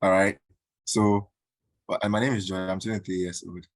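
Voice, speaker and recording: A man teaching a coding tutorial in a halting way, with many fillers and frequent "right?" checks. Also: sits low, level tone, no echo, studio quality. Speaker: coding instructor. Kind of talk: screen-recorded coding tutorial.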